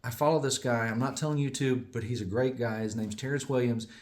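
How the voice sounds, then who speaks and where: A man's voice: medium pitch, fairly even intonation, fast talker. A man from the United States, a small business owner, giving a casual, digressive update that often corrects itself and chuckles along the way. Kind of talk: casual rambling monologue.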